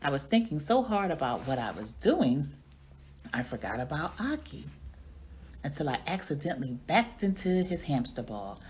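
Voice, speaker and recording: A woman reading a children's story aloud in an expressive storytelling manner. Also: low voice, normal speed, slight background noise. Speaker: reading coach or teacher reading aloud.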